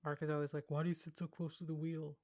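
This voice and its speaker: Slightly low female voice, dry room sound, chatty read-aloud, wry, colloquial. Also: flat delivery, fast talker, warm tone, no echo. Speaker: co-host of a fan fiction reading show. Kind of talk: casual chat, reading fan fiction aloud.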